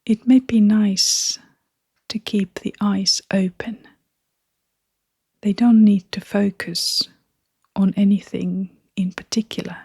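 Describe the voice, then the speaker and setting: A woman leading a guided meditation in a gentle, hushed, breathy voice, leaving long pauses between short phrases. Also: mid-range voice, steady tone, no room sound, clean studio sound. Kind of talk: guided meditation, soft-spoken.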